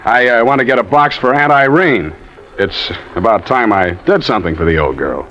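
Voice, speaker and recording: Actor as a nervous office husband, muffled radio. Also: male voice, mid-range voice, lively intonation, normal speed, close to an everyday voice. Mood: falsely casual. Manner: hesitant, flustered, falsely casual. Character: nervous, scheming married office worker. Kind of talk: 1950s radio drama acting. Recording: muffled old radio recording.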